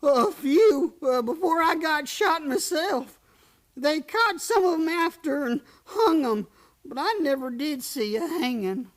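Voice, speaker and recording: A naive drifter of the old West, acted by a woman, speaking very slowly in folksy American speech. The voice sits high, and the manner is gentle and plain, as in a radio Western. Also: animated. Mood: wistful, plain. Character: simple, gentle drifter man, a former Union soldier. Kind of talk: Western radio play acting.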